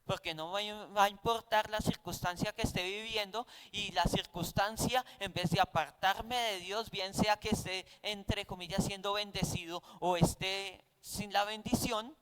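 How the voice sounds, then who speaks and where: A man's voice: high-pitched, fairly even intonation, unhurried, hardly any pauses, a bit bright, smooth. A man with a Colombian accent teaching a Bible class in a didactic, conversational way, often posing rhetorical questions.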